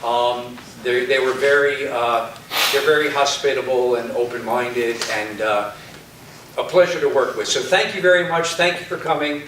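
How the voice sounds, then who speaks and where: Male host, informal and halting, thanking a crowd from far off the mic in a reverberant hall. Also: mid-range voice, steady tone. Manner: rambling, conversational, halting. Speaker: host of a staged reading. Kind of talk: informal closing thanks to an audience. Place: hall at a community center. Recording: echoey hall, distant mic, audience noise, hiss.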